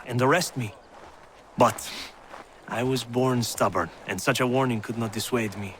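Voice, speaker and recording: A male actor plays a genial young French nobleman in a game, with a French accent and a mid-range voice. He is proud and effusive, telling things with relish. Clear ambient noise surrounds him. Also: mid-range voice, a bit bright, natural voice. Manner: effusive, storytelling. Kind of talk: dramatic video game cutscene acting.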